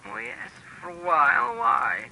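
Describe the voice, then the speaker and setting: A man's voice: fairly high pitch, very animated, measured pace, creaky, pushed and bright, a little hiss or hum, full character voice. An actor playing a sleepy man woken by a phone call, soothing and evasive in 1940s radio style.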